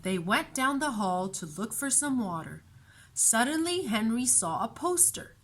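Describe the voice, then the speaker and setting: A woman storyteller narrating a children's storybook in an expressive, deliberate way. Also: fairly high pitch.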